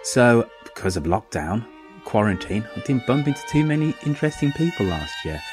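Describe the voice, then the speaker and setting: A man's voice: medium pitch, sing-song, normal speed, over music. A man hosting a podcast interview, chatty and self-deprecating, with rambling questions. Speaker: podcast host. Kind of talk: podcast interview over a remote call.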